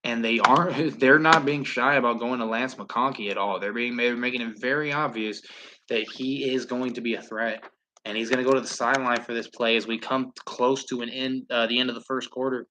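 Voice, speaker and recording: A man at an ordinary middle pitch rambles his way through a casual live stream, padding his talk with fillers and the odd curse. Between tangents he turns to calling the plays himself. He is from Southern California. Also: fairly even intonation, a little fast, noisy background. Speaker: livestream host and play-by-play commentator.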